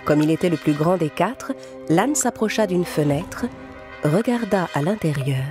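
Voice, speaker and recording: A woman's voice: fairly low pitch, expressive, a little slow. A woman reading scripted storybook narration for children as the narrator of a cartoon fairy tale. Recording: music clearly behind the voice.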